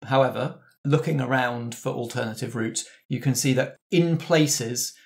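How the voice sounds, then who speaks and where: A man narrates a tabletop roleplaying game as its game master. His delivery is conversational and descriptive, at a middle pitch. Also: normal speed, gravelly, a little mellow.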